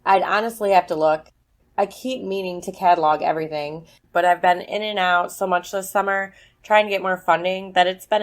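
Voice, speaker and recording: A woman's voice: lowish voice, natural voice. A woman playing a busy, enthusiastic academic scientist in an audio drama, chatty and candid.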